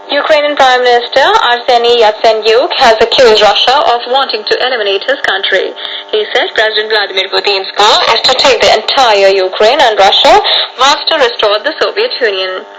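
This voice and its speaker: A woman newsreader with an Indian accent delivers a scripted bulletin in a fairly high voice. It comes over shortwave radio, with some noise and hum behind her. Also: fairly bright tone. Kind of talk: scripted news bulletin reading. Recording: noisy humming shortwave radio broadcast.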